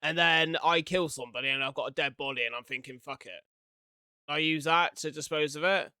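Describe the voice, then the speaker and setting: Guy doing casual reaction commentary, bright forward voice, dry close sound, kinda incredulous. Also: fairly high pitch, brisk, clear, resonant tone, dry recording. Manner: informal, interjecting, incredulous, swearing. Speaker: reaction video host.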